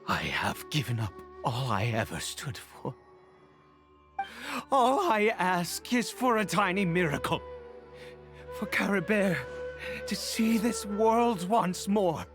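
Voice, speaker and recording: An actor playing a grieving, desperate father in a video game, pleading in a tearful, breaking voice with halting, dramatic delivery. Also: high-pitched, very animated, music in the background, full character voice. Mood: broken, pleading. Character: grieving desperate father, bitter toward the gods.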